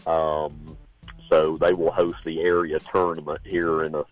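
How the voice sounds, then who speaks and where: A man, a sports analyst on a call-in radio show, reporting local sports scores in a relaxed, rambling way with many uhs. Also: rumbling low, measured pace, no echo, soft background music, rough recording.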